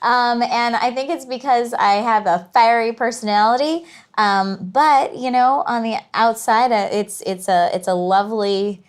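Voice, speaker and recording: Woman guest with halting, filler-heavy speech. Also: fairly high pitch, clear, resonant tone. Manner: hesitant, many fillers. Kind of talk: casual podcast chat.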